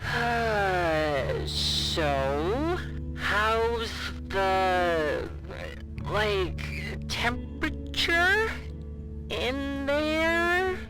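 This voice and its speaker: Casting: a bored, awkward radio operator, audio drama, casual rambling delivery, lively pitch swings, music underneath. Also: female voice, extremely slow, exaggerated voice, poor audio. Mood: awkward, curious. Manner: hesitant, casual, rambling with fillers. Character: bored, chatty, awkward office radio operator, eager for gossip. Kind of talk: naturalistic audio drama dialogue.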